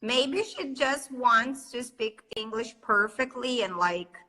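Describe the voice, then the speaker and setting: Bright Brazilian woman chatting in English. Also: on the high side, slow pace. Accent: Brazilian Portuguese. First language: Portuguese. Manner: chatty, laughs often, hesitant with fillers. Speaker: English teacher, host. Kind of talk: casual live stream chat.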